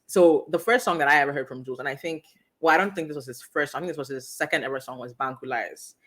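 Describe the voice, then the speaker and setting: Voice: medium pitch, fast, a crowd in the background. A podcast host from Africa leading a casual interview, talking thoughtfully with frequent restarts. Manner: thoughtful, many restarts and fillers.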